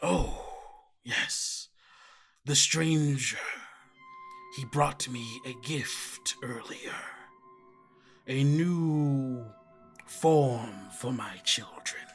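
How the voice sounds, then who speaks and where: A man voicing an eerie, aggrieved spider mother with slow, drawn-out, breathy phrasing, in a tabletop game. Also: mid-range voice, fairly bright tone, soft background music. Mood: cold, pleased. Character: eerie monstrous spider caretaker Rose, female, aggrieved mother of creatures.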